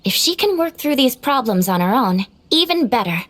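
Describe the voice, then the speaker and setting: A woman voicing a composed young female healer in a video game, speaking in a measured, clinical way. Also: fairly high pitch, big pitch swings, a bit bright. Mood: calm, concerned. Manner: measured, matter-of-fact, explanatory.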